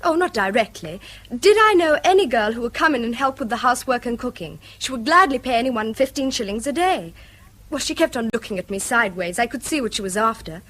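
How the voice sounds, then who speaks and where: An actress playing an eager, practical, dutiful young English daughter in a British radio drama. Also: female voice, fairly high pitch, animated, a little fast, fairly bright tone. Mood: eager, persuasive. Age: young adult.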